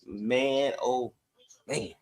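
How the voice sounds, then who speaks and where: A man's voice: medium pitch, drawn-out words, darkish tone. A man co-hosting a sports podcast, chatting casually in slangy, emphatic bursts.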